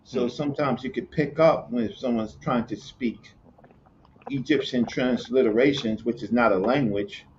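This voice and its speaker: A man from Virginia, explaining hieroglyphs in casual chat, halting, with many fillers and repeated words. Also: mid-range voice, slight background noise. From Newport News, Virginia, USA. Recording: muffled lo-fi audio with faint ambience.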